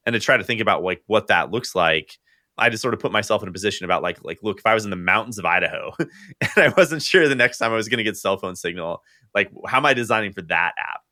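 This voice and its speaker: A man's voice: fairly low pitch, lively intonation, fast, sharp tone, dry recording, clean studio sound. A man hosting a podcast, reflecting and telling a story with frequent fillers. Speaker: podcast host. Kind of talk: conversational podcast interview.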